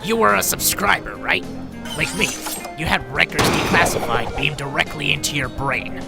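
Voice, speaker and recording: Man playing gravelly, grumpy robot doctor. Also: fairly high pitch, expressive, normal speed, over loud music. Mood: dry, insistent. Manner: exasperated, grave, insistent. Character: worn, grumpy, earnest male robot doctor. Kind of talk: audio drama acting.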